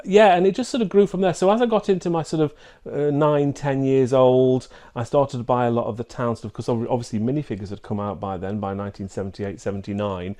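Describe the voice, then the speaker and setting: Mellow northern English man in his fifties. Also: mid-range voice, steady tone, a little fast. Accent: northern English, from the North East. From Newcastle upon Tyne, England. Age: 50s. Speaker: interview guest, YouTuber. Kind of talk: casual reminiscing interview.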